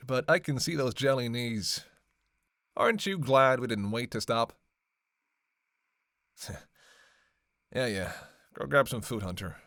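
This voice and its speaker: A man's voice: medium pitch, gravelly, fairly bright tone, dry recording, clean studio sound, heavily acted. A man playing a snarky, teasing vampire captive in a roleplay audio drama, talking with sardonic, flirtatious banter. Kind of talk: roleplay audio drama, one-sided dialogue.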